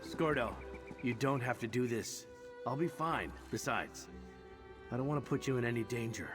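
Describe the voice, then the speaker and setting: An actor playing a troubled, self-sacrificing adventurer in a fantasy video game, wry and rueful. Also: male voice, medium pitch, animated, normal speed, music in the background, lightly acted. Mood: wry, reassuring.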